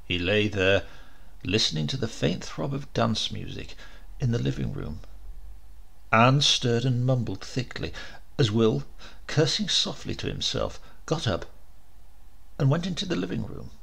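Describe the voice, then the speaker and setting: A man narrating a horror short story dramatically, with deliberate, suspenseful, theatrical emphasis. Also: medium pitch, lively intonation, vocal fry, a bit bright, slightly rough. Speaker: audiobook narrator.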